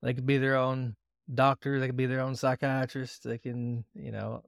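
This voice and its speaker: A man hosting a podcast who talks in a relaxed, agreeable way with many fillers. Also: medium pitch, moderate pace, vocal fry, clear, resonant tone, dry recording, clean studio sound. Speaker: podcast host. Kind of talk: casual podcast interview.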